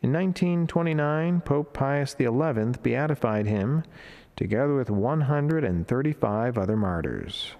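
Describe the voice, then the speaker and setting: A middle-aged man reading a devotional reflection as a friar, in a solemn, measured, formal way. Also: mid-range voice, animated, darkish tone. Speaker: Franciscan friar preacher. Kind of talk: read devotional reflection and prayer. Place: indoor chapel, at a lectern beside the altar. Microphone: lectern microphone.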